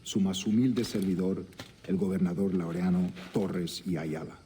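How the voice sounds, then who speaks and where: Man acting a deep, mellow Spanish governor. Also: slight background noise, natural voice. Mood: formal, gracious. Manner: formal, courtly, measured. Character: formal, dignified Spanish colonial governor, courtly and commanding. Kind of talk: letter read aloud as game voice-over.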